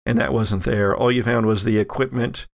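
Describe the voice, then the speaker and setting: A man narrating a tabletop roleplaying game as game master in a halting, deliberate way. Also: mid-range voice, fairly even intonation, vocal fry.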